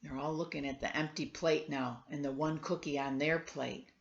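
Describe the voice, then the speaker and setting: A woman's voice: low voice, fairly even intonation, moderate pace, gravelly, muffled recording, slight background noise. A woman reading a storybook aloud to children, coaxing them with questions and exclaiming in conversational asides. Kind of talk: read-aloud storytelling with questions to young listeners.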